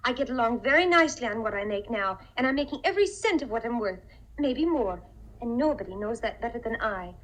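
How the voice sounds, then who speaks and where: Sweet, effusive young secretary, high-voiced. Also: female voice, a little fast, rough recording. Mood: earnest, fussing. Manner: effusive, folksy, flattering. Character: sweet, naive, eager-to-please young office secretary. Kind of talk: 1950s radio comedy-drama acting.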